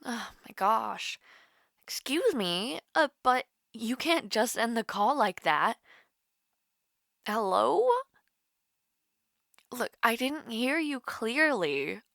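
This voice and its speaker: A woman playing a nervous, smitten young woman in an intimate romantic audio roleplay, halting and flustered. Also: on the high side, sing-song, a bit bright, no echo, clean studio sound, exaggerated voice. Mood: bewildered, insistent. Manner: halting, flustered, breathy sighs and whispers.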